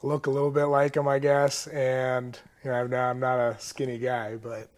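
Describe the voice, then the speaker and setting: A man's voice: medium pitch, monotone, normal speed. A young American man, a college athlete, giving casual, laid-back interview answers in short clipped phrases. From Moorhead, Minnesota, United States. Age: young adult. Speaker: college football player, interview guest.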